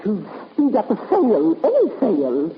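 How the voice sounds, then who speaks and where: A woman's voice: mid-range voice, wildly swooping pitch. Elderly lady companion, fretful, hesitant, genteel, vintage radio drama, thin phone-like sound, long reverb. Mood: anxious, fluttery. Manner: hesitant, fluttery, halting. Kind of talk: 1950s radio drama acting. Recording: muffled, noisy 1950s radio recording, reverberant.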